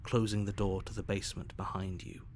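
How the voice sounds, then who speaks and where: An actor as smug, low-voiced storybook narrator spirit. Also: male voice, flat delivery, background noise, close to an everyday voice. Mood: ominous, measured. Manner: deliberate, theatrical, condescending. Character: smug, controlling male narrator spirit, ominously placid. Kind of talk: scripted storybook game narration.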